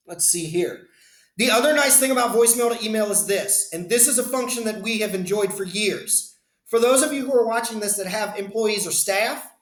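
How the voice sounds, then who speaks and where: High-voiced man demoing phone features. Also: roomy sound. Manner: conversational, explanatory, addresses viewers directly. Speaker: business phone system consultant. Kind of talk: screen-share product demo. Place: indoor room, webcam inset.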